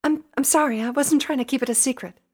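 A timid young female pony from a storybook, acted out by a woman. The voice sits very high and falters with stammers and apologetic hesitation. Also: fast talker, faint music behind.

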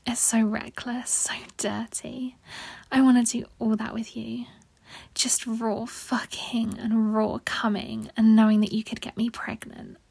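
Woman acting husky, breathy, slow seductress. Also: fairly high pitch, flat delivery, darkish tone, no echo, exaggerated voice. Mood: breathless, pleading. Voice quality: husky, breathy, often dropping into whispers. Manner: slow, sultry, coaxing. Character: seductive, needy adult woman lover, wanton and breathless. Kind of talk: intimate erotic audio roleplay.

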